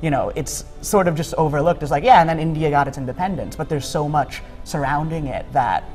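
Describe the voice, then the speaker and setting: A young man from the United States speaks as an interview guest in a slightly high voice. His talk is relaxed and conversational, full of filler words, with faint music underneath. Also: a little fast. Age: young adult.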